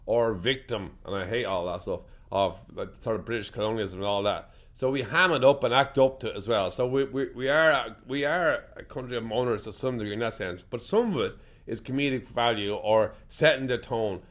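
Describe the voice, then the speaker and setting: An Irish man in casual conversation, telling animated stories, swearing freely and laughing as he goes. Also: medium pitch, a little fast. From Ireland. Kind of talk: casual chat.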